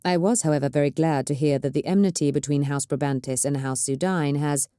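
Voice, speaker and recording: A measured planetary governor speaks with smooth courtesy that carries a veiled threat. A woman reads the part in a low, dark register. The sound is dry and close. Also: steady tone, dry recording, close to an everyday voice.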